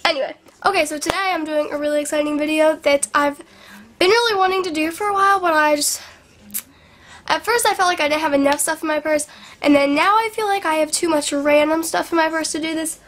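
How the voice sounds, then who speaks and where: A woman's voice: sits high, a little fast, fairly bright tone. A young woman vlogging from her bedroom, rambling in a bubbly way with lots of "like", sounding sick and sniffly. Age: young adult. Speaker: beauty vlogger. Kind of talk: casual chatty vlog. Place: small bedroom indoors. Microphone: camera in front of her at arm's length.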